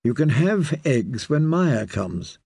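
A man voicing an anxious, gentle young noblewoman who speaks softly and coaxingly. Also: mid-range voice, big pitch swings, takes it slowly, vocal fry, slightly rough, dry recording, studio quality, full character voice. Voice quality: soft, coaxing.

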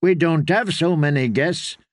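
A male narrator plays a young innkeeper girl from a storybook. The voice is raised high and close, dry in sound. The girl is weary beyond being a child, blunt and wary. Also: unhurried, no room sound, lightly acted. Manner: blunt, questioning, demanding.

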